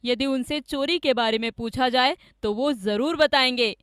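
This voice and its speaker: A woman's voice: sits high, moderate pace, a bit bright, dry recording, studio quality. A woman voicing a scheming, greedy village man with an insistent, dramatic manner in a cartoon story. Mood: indignant, insistent. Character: scheming greedy village man, falsely accusing his friend.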